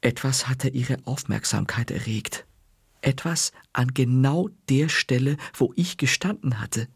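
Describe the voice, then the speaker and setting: A man narrating a children's story in an expressive, unhurried way. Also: mid-range voice, gravelly, slightly rough, no echo.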